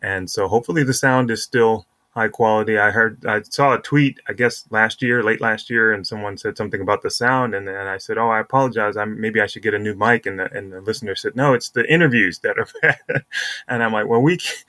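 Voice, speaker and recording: A man's voice: on the low side, bright, forceful tone, no echo. A middle-aged man hosting a casual sports podcast, chatting and introducing interviews. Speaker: podcast host.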